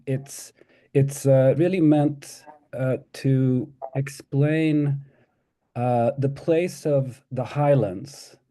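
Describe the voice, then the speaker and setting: A man's voice: medium pitch, mellow. A middle-aged Swedish-born man giving an academic talk over a video call, hesitant, with many ums and restarts. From Sweden. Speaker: guest lecturer.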